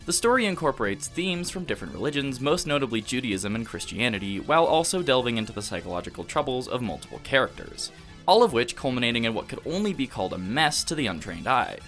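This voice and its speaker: A man delivering scripted, analytical video essay narration in a measured, emphatic, rhetorical way. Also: medium pitch, big pitch swings, fairly bright tone. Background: background music.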